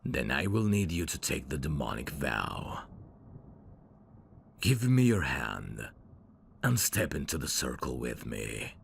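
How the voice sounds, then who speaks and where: Man voicing a very deep, gravelly courtly demon. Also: sing-song, slight background noise, full character voice. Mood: courtly, tender. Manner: formal, courtly, slow and deliberate. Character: suave, devoted male demon servant of hell's royalty. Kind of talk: ASMR roleplay audio drama.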